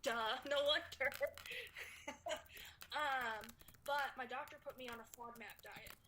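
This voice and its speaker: Bright Texan woman, laughing and hesitant. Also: high-pitched, takes it slowly, a little hiss or hum. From Texas. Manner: laughs often, hesitant with many "um"s, friendly. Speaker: meeting participant in recovery. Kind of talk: casual personal sharing.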